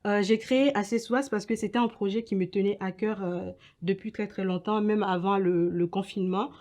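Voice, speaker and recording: A young woman from Ghana and Togo giving halting interview answers as an entrepreneur, often repeating her words. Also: mid-range voice, steady tone, darkish tone. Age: young adult. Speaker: entrepreneur and student being interviewed. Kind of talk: interview answers about own business.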